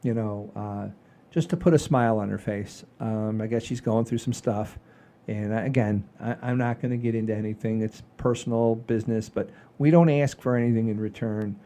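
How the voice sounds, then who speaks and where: A middle-aged or older man hosting a comedy show, telling stories casually in a rambling, animated way with salty language. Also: lowish voice, normal speed. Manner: rambling, animated storyteller with frequent swearing. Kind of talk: casual chat, storytelling.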